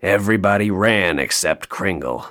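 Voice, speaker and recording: An actor playing a jaded, sardonic male elf toymaker in an audio play, deadpan, dry and downbeat. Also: sits low, normal speed. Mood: bitter, wounded.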